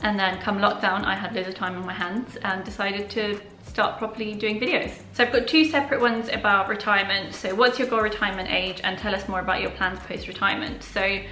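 A woman's voice: mid-range voice, fairly even intonation, fairly quick, hardly any pauses, bright, forceful tone, roomy sound, low-quality recording. A young woman whose first language is Polish, answering viewer questions in a casual, open vlog from her living room. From Poland. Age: young adult. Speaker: personal finance YouTuber. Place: living room at home, indoors.

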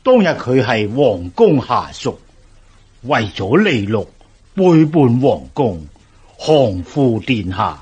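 An actor playing an earnest court adviser in a historical radio drama, formal, deliberate and husky. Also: male voice, mid-range voice, big pitch swings, darkish tone, highs cut off, heavily acted. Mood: grave warning.

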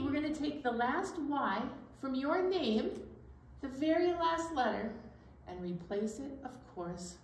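A woman hosting a children's storytime activity in a teacherly, questioning way with pauses, recorded roomy and from a distance. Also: high voice. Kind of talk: interactive flannel-board activity for young children. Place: indoor room beside a flannel board. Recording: roomy, distant pickup with noise.

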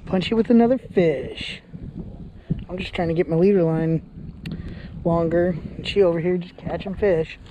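Upbeat high-voiced man vlogging outdoors. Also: fairly even intonation, normal speed, long pauses, highs cut off. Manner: upbeat, chatty, laughs often. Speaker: fishing vlogger. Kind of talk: casual outdoor vlog narration. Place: outdoors on a lakeshore. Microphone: body-worn action camera. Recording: outdoor wind and ambient noise.

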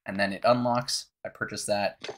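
A young man with a cough-roughened, scratchy voice, casually walking viewers through a game menu step by step into his headset at home. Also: fairly low pitch, fairly even intonation, moderate pace, a bit bright. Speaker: gaming YouTuber. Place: small room at home.

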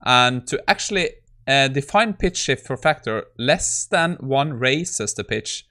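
A man's voice: mid-range voice, animated, unhurried, sharp tone. A man in his thirties giving a casual coding walkthrough as a tech YouTuber at his desk.

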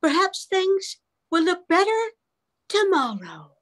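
A woman's voice: very high-pitched, very animated, slow, fairly bright tone, exaggerated voice. An older woman playing an apologetic, woeful old granny in a radio melodrama, speaking hesitantly. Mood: apologetic, resigned. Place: at home on a group video call.

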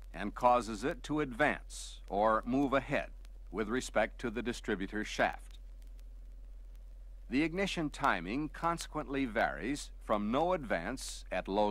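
A man reading scripted technical narration for a vintage instructional film, explaining step by step in a measured, didactic way. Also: mid-range voice, fairly bright tone, dry recording.

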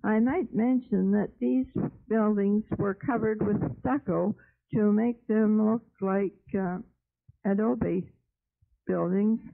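A thin, phone-like recording carries a woman's slow commentary on old footage. Her voice has a gravelly creak as she describes each scene informally. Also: mid-range voice, steady tone. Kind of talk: unscripted descriptive commentary with recollections. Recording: narrow phone-like, old radio sound.

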